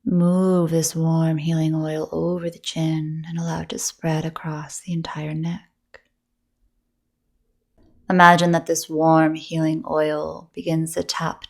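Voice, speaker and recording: A woman leading a guided meditation as a yoga nidra teacher, speaking softly and soothingly with breathy gentleness and long pauses between phrases. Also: sits low, completely flat delivery.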